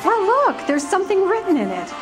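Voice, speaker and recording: A woman acting in a live community radio play, voicing a tender, excited wife. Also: very high-pitched, brisk, over music. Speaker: actor playing Mary.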